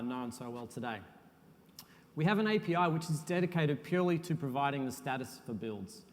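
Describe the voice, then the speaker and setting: Male conference presenter, slightly high voice, easygoing and self-deprecating, recorded in a reverberant hall. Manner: relaxed, self-deprecating presenter with frequent uhs. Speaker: conference speaker, software architect. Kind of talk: technical conference talk.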